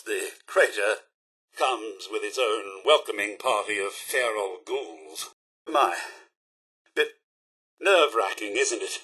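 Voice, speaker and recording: Bright, theatrical British robot butler. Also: male voice, mid-range voice, slightly rough, heavily acted. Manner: theatrical, polite, primly disapproving butler diction. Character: prim, fussy British robot butler, loyal but sarcastic. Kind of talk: video game companion voice lines.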